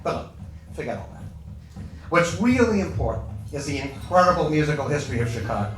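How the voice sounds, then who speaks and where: A man's voice: a little high, very animated, brisk. An American man playing a brash, proud old Chicago union boss in a stage monologue, punchy and colloquial. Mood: enthusiastic. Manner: colloquial, punchy, self-justifying storytelling. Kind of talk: first-person dramatic monologue on stage. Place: echoey event hall before an audience. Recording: echoey hall, distant mic, music.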